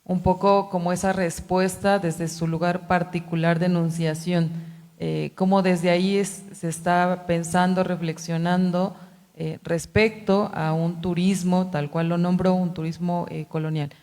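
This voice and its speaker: A woman's voice: lowish voice, little pitch movement, unhurried, darkish tone. A woman in the audience asking a long academic question, hesitant and searching for words. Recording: long hall reverb.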